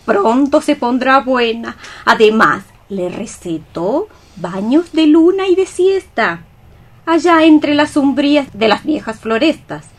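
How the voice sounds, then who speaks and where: High, slow old cockroach sorceress. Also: female voice, lively intonation, full character voice. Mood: caring, instructing. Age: older. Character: ailing old female cockroach sorceress, ominous healer and friend. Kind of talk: poetic radio theatre acting.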